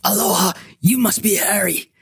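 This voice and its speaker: A man's voice: high voice, moderate pace, gravelly, breathy edge, no room sound, studio quality, soft background music, voice pushed up, exaggerated voice. A man playing a slick, eager talent agent in his forties by looks, with an effusive, salesy manner in an audio story. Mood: smooth, eager. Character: slick, eager talent agent, ageless seventy-something looking mid-forties.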